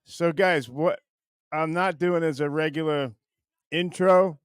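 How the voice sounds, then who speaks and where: A man hosts a loose comedy show in a slightly raised voice. He takes his time, halting and starting over as he speaks directly to his viewers. The sound is quite dry and close, with little room on it. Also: fairly high pitch, fairly even intonation, smooth, no room sound. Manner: halting, stop-and-start, talking straight to viewers. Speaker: show host introducing a puppet bit. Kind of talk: casual comedic show talk.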